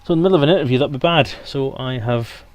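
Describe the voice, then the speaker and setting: A man's voice: mid-range voice, fast, darkish tone. A man hosting a live stream on a headset microphone, chatting informally to viewers in a joking, digressive way. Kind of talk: casual rambling livestream chat to viewers.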